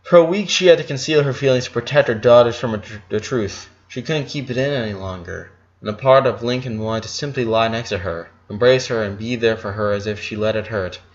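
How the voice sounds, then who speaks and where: Male narrator, mid-pitched voice, scripted dramatic story reading, slightly dull audio. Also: fairly quick, hardly any pauses. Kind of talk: dramatic fan fiction narration.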